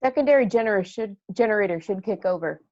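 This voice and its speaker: A woman's voice: mid-range voice, animated, fast talker, a little mellow, close to an everyday voice. A woman acts a terse navigator aboard a spaceship in a film script. Her voice is mid-range, and the sound is a little dull and lo-fi. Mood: tense. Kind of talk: amateur screenplay table read.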